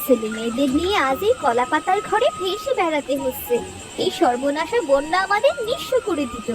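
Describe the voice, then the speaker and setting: A woman voicing a worried village wife and mother in a cartoon story, fretful and expressive. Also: high-pitched, brisk. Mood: weary, lamenting. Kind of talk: dramatic cartoon story dub. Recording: loud music under the voice.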